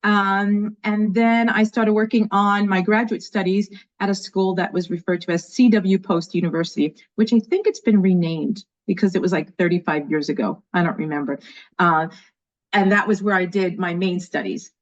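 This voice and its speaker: A woman's voice: medium pitch, normal speed. A woman in her fifties from New York with a light New York accent, chatting enthusiastically as an interview guest. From New York, USA. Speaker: guest, education leader. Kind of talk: casual interview. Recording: dull lo-fi call audio.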